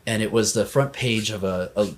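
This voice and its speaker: An American man talking as a financial advisor and podcast guest, animated in telling stories and often checking in with "right?". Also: fairly low pitch, normal speed. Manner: animated storyteller, tags sentences with "right?". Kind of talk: conversational podcast interview.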